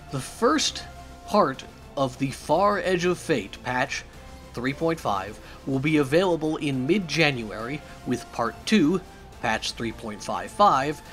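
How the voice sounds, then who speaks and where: A man hosting a channel, recapping game news with opinions and many fillers, his voice raspy and hoarse from a cough. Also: fairly high pitch, fairly bright tone. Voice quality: raspy, strained. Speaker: YouTube channel host.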